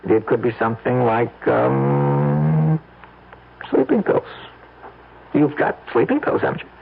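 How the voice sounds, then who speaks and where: A man's voice: medium pitch, sing-song, takes it slowly, gravelly, slight background noise, full character voice. An American man acting in an old-time radio drama as a scheming young husband, stammering and repeating himself as he coaxes. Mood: urgent coaxing. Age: young adult. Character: scheming young husband, nervy, coaxing, smooth-talking. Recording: muffled old radio broadcast.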